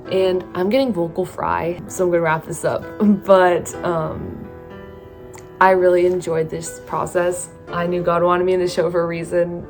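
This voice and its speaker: A young woman with a Californian accent speaks in a lowish, tired voice. She takes her time, restarts her sentences and fills pauses with "um" and "like" as she reflects to the camera. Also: a little mellow. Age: young adult. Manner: slow, thoughtful, with many fillers and restarts. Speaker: theatre student vlogger. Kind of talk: casual reflective talk to camera.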